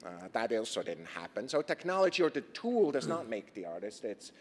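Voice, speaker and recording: A man with a Swiss accent giving an earnest conference talk, posing rhetorical questions and pausing on fillers. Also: mid-range voice, sing-song, slightly rough. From Switzerland. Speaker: conference presenter. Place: conference stage. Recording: reverberant lecture hall.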